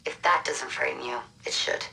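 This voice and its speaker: An actress playing a secretive resistance hacker who briefs a new recruit over a link. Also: female voice, very deep voice, creaky, bright, forceful tone, exaggerated voice, poor audio. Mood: urgent. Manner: brisk, urgent, conspiratorial. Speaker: mission handler.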